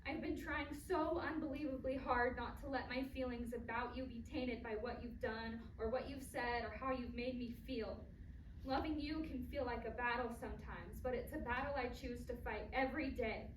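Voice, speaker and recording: A woman's voice: a little high, steady tone, moderate pace, natural voice. A woman playing an emphatic adult daughter with long pent-up hurt and anger in a staged play reading. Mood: impassioned, hurt. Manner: emphatic, impassioned. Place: performance space, recorded from afar. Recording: echoey distant stage recording, clear noise.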